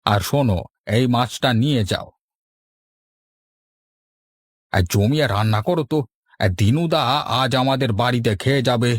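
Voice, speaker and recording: A man plays an older village father for a cartoon. He is kindly and sensible, with a medium-pitched voice recorded close and dry. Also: brisk, no room sound, lightly acted. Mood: hearty.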